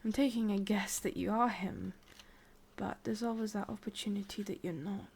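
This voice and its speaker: A woman with an English accent playing a lovelorn, obsessive immortal witch in a breathy, intimate whispered ASMR roleplay. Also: medium pitch, fairly even intonation. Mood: worried, wistful. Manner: slow, hushed, intimate delivery with pauses.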